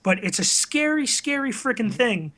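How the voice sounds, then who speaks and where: Bright, high-pitched man, earnest and hesitant. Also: very animated, normal speed. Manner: earnest, hesitant, self-deprecating. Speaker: interview guest, web video creator. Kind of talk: casual conversational chat.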